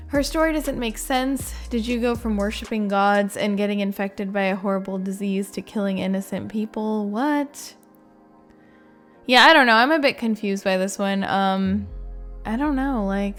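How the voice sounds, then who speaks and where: A woman giving casual, informal reaction commentary as a gaming creator, thinking aloud in a hesitant way. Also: mid-range voice. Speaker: video game streamer and reviewer.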